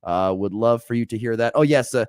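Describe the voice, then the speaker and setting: A man hosts a morning sports talk radio show, his voice a little hoarse from a sore throat. He talks in an upbeat, rambling way with plenty of fillers. His pitch sits in the middle range, and the sound is dry and close. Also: a little fast, a little mellow, dry recording.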